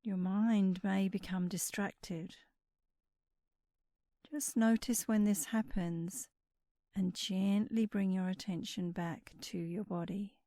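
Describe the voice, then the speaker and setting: A woman leading a guided sleep meditation in a soothing, unhurried manner, with breathy endings to her phrases. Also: medium pitch, steady tone, warm tone, no echo.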